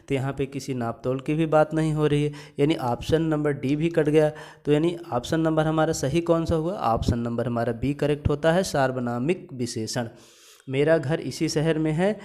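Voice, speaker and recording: A man's voice: fairly high pitch, dark tone. A male teacher going through exam questions step by step, asking questions and answering them himself, and repeating each answer. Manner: explains step by step, repeats answers, asks and answers his own questions. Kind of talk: exam-prep lesson.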